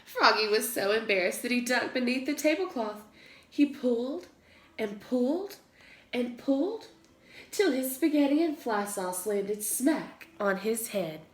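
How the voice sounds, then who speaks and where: Slow, animated woman reading a storybook. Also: fairly high pitch, echoey room. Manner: acts out sound effect words with emphasis. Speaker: pre-K teacher reading aloud. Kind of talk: expressive children's storybook read-aloud.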